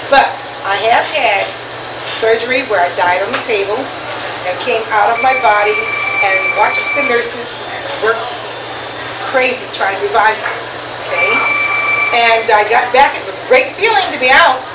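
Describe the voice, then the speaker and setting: An older woman giving an informal personal talk to a small group in a restaurant, speaking deliberately with pauses. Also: a little high. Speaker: guest speaker at a business networking meetup. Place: restaurant dining room. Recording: echoey, noisy room, distant mic, loud background hum.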